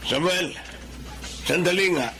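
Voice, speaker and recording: Stern Filipino dad in radio drama: high-set, forceful, confrontational. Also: male voice, slow pace, faint music behind, exaggerated voice. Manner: scolding, confrontational. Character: stern, fault-finding father of a grown son.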